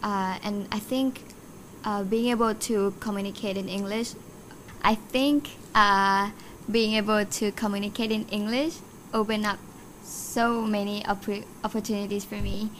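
A woman's voice: mid-range voice, steady tone, measured pace, fairly bright tone, a little hiss or hum, poor audio. A nineteen-year-old woman from Thailand, a guest chatting casually on a podcast, with many fillers. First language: Thai. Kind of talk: casual interview chat.

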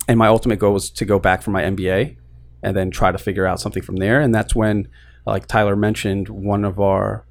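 Young guy from Queens, relaxed guest, lowish voice, laid-back and self-mocking, restarts a lot. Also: creaky. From Queens, New York. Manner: easygoing, self-deprecating, frequent restarts. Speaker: guest, lending business co-founder. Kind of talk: casual interview chat.

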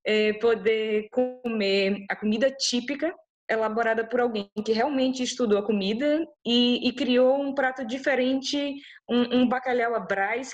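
Enthusiastic Brazilian woman from Bahia. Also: on the high side. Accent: Brazilian Portuguese. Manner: enthusiastic, chatty, many restarts. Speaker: interview guest. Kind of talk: casual interview answers.

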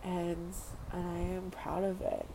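Young woman from Samoa, earnest vlogger, low and flat delivery. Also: a little slow, vocal fry. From Western Samoa. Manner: earnest, repeats phrases, casual fillers. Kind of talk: heartfelt personal monologue. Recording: noisy background ambience.

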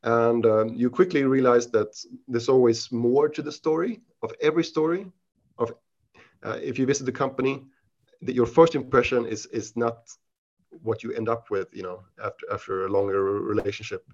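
A man with a Swedish accent, a podcast guest who speaks in halting, casual answers full of restarts and fillers. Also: mid-range voice, a little mellow. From Sweden. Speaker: podcast guest, investment researcher. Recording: dull lo-fi audio.

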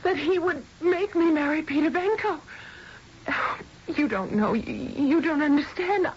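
Radio drama daughter: twenty-one, pleading but stubborn, very high pitch, emotional, dull broadcast with slight hiss. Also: female voice, lively intonation, darkish tone. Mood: frightened, pleading. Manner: emotional, halting, earnest. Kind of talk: radio mystery drama acting.

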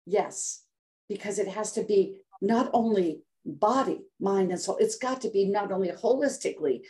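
An older American woman speaking as a podcast guest over a remote call, voice at an everyday middle pitch. She tells her story dramatically and acts out the words of others. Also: moderate pace, a little mellow. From United States. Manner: dramatic, acts out quotes with voice shifts. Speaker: guest health coach. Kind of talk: emotional storytelling in interview.

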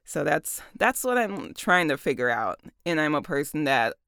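A woman voice actor with a deep voice, chatting casually about her work, laughing often and using lots of fillers. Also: big pitch swings, moderate pace, gravelly, fairly bright tone, clear, resonant tone, no room sound, studio quality. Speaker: voice actor and audiobook narrator.

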